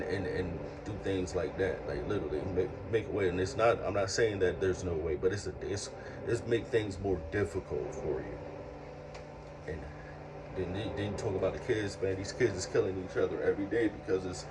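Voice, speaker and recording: A man's voice: low-pitched, recorded from a distance, noisy background. A man in his late thirties speaking in an earnest, reflective monologue, often circling back over his words with repeated phrases. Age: 30s. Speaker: speaker sharing life lessons.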